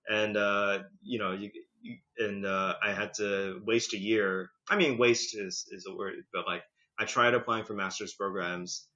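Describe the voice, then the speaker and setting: A man's voice: fairly low pitch, unhurried. A man in his thirties, a podcast guest telling his story in a casual, chatty way, on a dull lo-fi recording. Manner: chatty, lively, leans on "like" and "you know".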